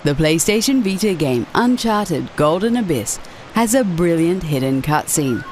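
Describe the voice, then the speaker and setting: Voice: mid-range voice, sing-song, unhurried, background noise. A narrator reading a scripted video game countdown as a voice-over.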